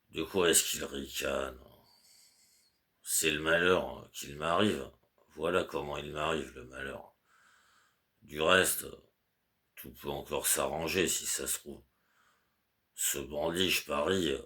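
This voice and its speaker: A man's voice: extremely low pitch, bright, forceful tone, exaggerated voice. A man reading aloud and voicing a fussy, anxious middle-aged clerk who stammers and over-explains his orders. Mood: fretting. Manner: flustered, repeats fillers, fussy over-explaining. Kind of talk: audiobook character reading.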